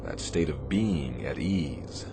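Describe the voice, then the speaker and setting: An actor playing a stern, demanding but caring Navy captain in a radio drama, with a commanding, sardonic delivery. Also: male voice, a bass voice, expressive, full character voice. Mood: angry, scolding. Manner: commanding, sardonic, deliberate phrasing. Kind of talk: radio theatre acting. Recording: clear background noise, shipboard ambience.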